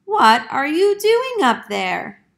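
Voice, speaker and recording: Woman as a polite, bright-voiced storybook monkey. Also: high voice, huge pitch swings, takes it slowly, creaky, voice pushed up, heavily acted. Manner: courteous, earnest. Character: polite, helpful young monkey handyman.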